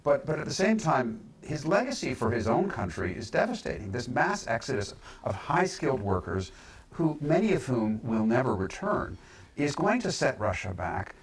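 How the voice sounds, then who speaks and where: A man speaking as a pundit in a political discussion, emphatic but halting, often repeating words as he builds his point. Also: medium pitch, very animated, poor audio. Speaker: panel pundit.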